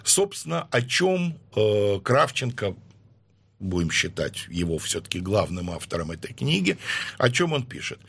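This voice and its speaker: Husky man explaining history at length. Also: lowish voice, big pitch swings, a bit bright. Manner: measured, lecturing with frequent hesitations. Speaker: guest historian. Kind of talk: explanatory interview talk.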